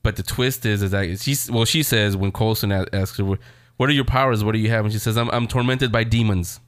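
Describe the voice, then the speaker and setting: A man's voice: fairly low pitch, steady tone, brisk, no echo, clean studio sound. A man hosting a show in a casual, rambling, conversational style, talking straight to his audience. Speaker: show host. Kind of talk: casual chat reviewing TV shows.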